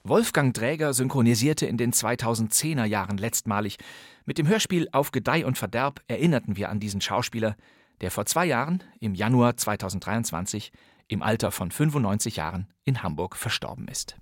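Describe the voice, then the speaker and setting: A man's voice: mid-range voice, animated, brisk, no echo. Male, podcast host, wry, emphatic, scripted presenting, very dry recording.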